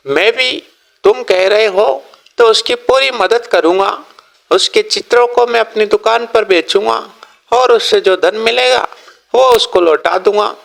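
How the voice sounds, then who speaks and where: Man playing a high-voiced, measured, shrewd merchant father. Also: creaky, a bit bright, heavily acted. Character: shrewd, approving father, a village shopkeeper merchant.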